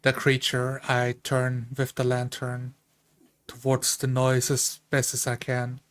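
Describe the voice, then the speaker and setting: A man's voice: mid-range voice, monotone, slow, fairly bright tone. A man playing a tabletop roleplay game, describing his character's actions in the first person.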